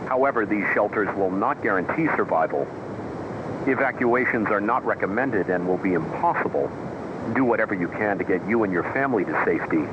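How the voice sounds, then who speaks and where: Male performer as emergency alert announcer, low-pitched, formal, impersonal, scripted disaster drama, muffled and noisy broadcast sound. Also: animated, fairly quick, close to an everyday voice. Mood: stern, impersonal.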